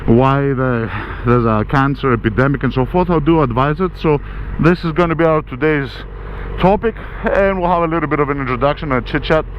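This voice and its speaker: A man's voice: a little high, a little fast. A man in his forties with a Greek-Australian accent, chatting loosely as he rides through city traffic and cursing at other drivers. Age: 40s. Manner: rambling, swears at other drivers. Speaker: motovlogger. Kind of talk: casual chat while riding. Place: on a motorbike in city traffic, outdoors. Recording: muffled with loud road noise.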